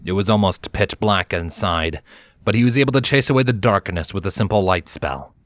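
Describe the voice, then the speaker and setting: A man's voice: deep voice, lively intonation, fairly quick, no room sound, studio quality. A man narrating a fantasy story in a dramatic, scripted reading style.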